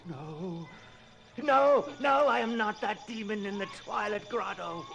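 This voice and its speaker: An actor playing a deranged, haunted asylum inmate in a theatrical old-time radio horror drama. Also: male voice, high-pitched, vocal fry, full character voice. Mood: crazed frenzy. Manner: theatrical, halting, swinging from hushed dread to frenzy. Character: deranged, haunted man confined to an asylum, pleading innocence. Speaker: narrator and lead actor. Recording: noisy vintage radio recording.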